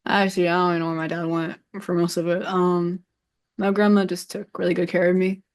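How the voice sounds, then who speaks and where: A woman's voice: on the low side, level tone, a little fast, clean tone. A woman in her twenties whose first language is Cantonese, a streamer chatting in an interview, hesitant and full of "like". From San Francisco Bay Area. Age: 20s. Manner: hesitant, lots of "like" and trailing off. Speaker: streamer guest. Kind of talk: casual interview chat.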